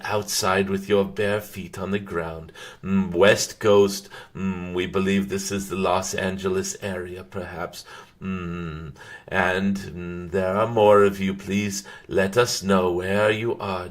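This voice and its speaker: A man channeling a serene, benevolent golden cosmic dragon, speaking formally and haltingly with frequent drawn-out hums to a live audience. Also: low voice, a bit bright. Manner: formal, ceremonial phrasing. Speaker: channeler hosting a live stream.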